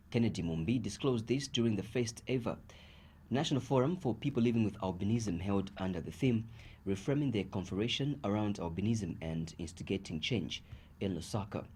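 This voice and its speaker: A man with a Zambian accent reads scripted news in a fairly low voice. He delivers it as a bulletin presenter. Some noise sits behind his voice. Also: a little mellow. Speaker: news reader.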